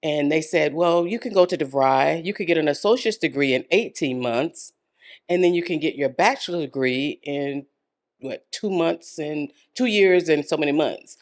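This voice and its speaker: A woman, telling her life story as a podcast guest, earnest and encouraging, with frequent ums and soft laughs. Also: low-pitched, normal speed. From Florida, United States. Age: 50s. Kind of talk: casual interview storytelling.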